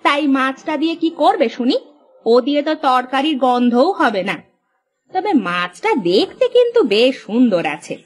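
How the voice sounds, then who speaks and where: Cartoon role: actress as high-voiced, scolding fisherman's wife, bossy, practical. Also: big pitch swings, moderate pace. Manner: nagging, scolding. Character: sharp-tongued, practical fisherman's wife, bossy but supportive. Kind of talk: Bengali cartoon story voice acting.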